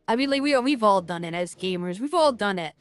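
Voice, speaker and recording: A woman with a loud voice chats her way through a video game, circling back with lots of "like" and repeated words. Her voice sits at an ordinary middle pitch. Faint game music plays quietly behind her. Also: animated, a little fast. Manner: rambling, lots of fillers and restarts. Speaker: gaming streamer. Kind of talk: casual game commentary.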